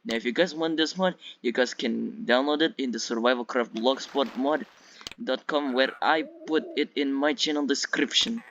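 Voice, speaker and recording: A man's voice: medium pitch, normal speed, fairly bright tone, a little hiss or hum. Russian-accented man, dull lo-fi mic. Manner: rambling, repeats himself. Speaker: YouTube game reviewer. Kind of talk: casual gameplay commentary. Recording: dull lo-fi mic with music behind.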